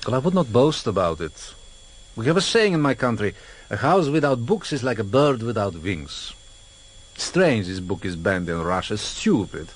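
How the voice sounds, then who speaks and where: An actor playing a cultured, mockingly courteous older foreign interrogator, formal and deliberate, in a vintage radio drama. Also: male voice, fairly low pitch, very animated. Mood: teasing. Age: middle-aged or older. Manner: formal, deliberate, mock-polite. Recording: slightly noisy vintage radio recording.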